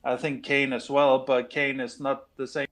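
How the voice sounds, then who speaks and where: A man's voice: medium pitch, flat delivery, normal speed, fairly bright tone. A man from Denmark giving his views as a fan on a video call, hesitant, full of fillers and restarts. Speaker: fan pundit. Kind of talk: casual chat on a video call.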